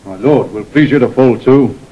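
An actor playing a gruff, nervous prison keeper in a Shakespearean audio drama. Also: male voice, mid-range voice, normal speed, a little hiss or hum, lightly acted. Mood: curt, uneasy. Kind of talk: classical Shakespearean radio acting. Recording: slightly dull 1960 recording.

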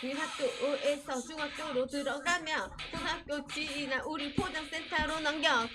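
A woman with a very high voice sings live on her stream, unhurried, with a loud backing track behind her. She moves between ballads and rap and drops in short spoken asides. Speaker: singer and streamer. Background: loud backing music.

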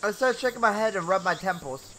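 A man's voice: sits high, expressive, fairly quick, pushed and bright, a little hiss or hum, low-quality recording. A young man hosting a gaming video, reading story narration theatrically with casual asides and the odd stumble over words. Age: young adult. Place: small home bedroom. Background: game music.

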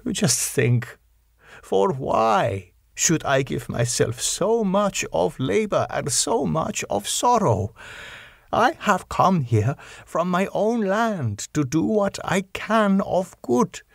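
A man voicing an elderly foreign professor in an audiobook, solemn and compassionate, with deliberate, formal phrasing. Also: fairly high pitch, creaky, no echo, studio quality, pitched above the performer's own voice, full character voice.

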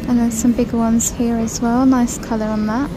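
A woman's voice: on the high side, little pitch movement, moderate pace, music in the background, poor audio. A woman vlogging a walk through a supermarket on her phone, chatting and gushing over items as she reads out prices. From United Kingdom. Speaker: shopping vlogger. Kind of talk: shop-with-me walkthrough narration. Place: large supermarket, indoors.

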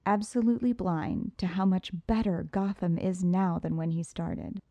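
Woman, audiobook narration, first person, sultry and poised, low-leaning pitch, dry close studio sound. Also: steady tone, moderate pace, creaky, warm tone, no echo.

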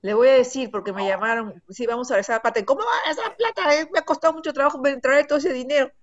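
A woman with a fairly high voice talks casually during an online meeting. The sound is dull and lo-fi, typical of a weak video call connection. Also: fast talker.

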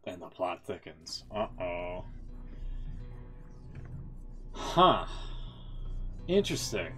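Male streamer, casual halting commentary, lively swings in pitch, music behind. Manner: musing, halting, sweary. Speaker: game livestreamer. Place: indoor streaming setup.

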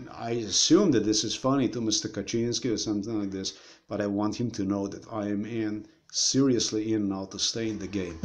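A man's voice: fairly low pitch, darkish tone. A man in his thirties rambling through a screen-share vlog, repeating himself and talking straight to viewers from a room. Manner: meandering, repeats himself, addresses viewers directly. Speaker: vlogger.

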